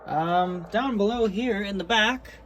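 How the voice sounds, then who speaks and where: A man's voice: high voice, measured pace, fairly bright tone. A man giving an informal, matter-of-fact, slangy hobbyist tour of his gear in an unscripted way, recorded indoors. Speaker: hobbyist presenter. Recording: clearly noisy amateur video recording.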